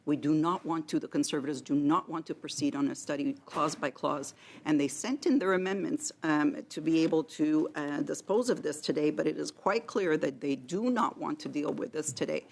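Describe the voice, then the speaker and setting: A woman speaking as a parliamentarian, objecting on procedure in an insistent, halting and formal way. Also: low-pitched, vocal fry, a little mellow. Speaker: member of parliament. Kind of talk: procedural objection in committee debate. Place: committee meeting room.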